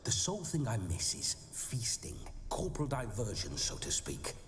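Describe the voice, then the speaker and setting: A man's voice: on the low side, huge pitch swings, normal speed, a bit bright. An actor playing the jovial, boastful ghost of a hard-drinking nobleman brawler in a video game. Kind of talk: video game cutscene acting. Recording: game audio with reverb and music.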